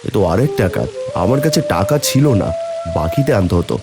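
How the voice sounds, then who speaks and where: Man as a quick, lowish-voiced village father. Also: sing-song, loud music behind the voice. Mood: reassuring. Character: mild, reassuring village husband and father. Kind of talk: cartoon fairy-tale acting.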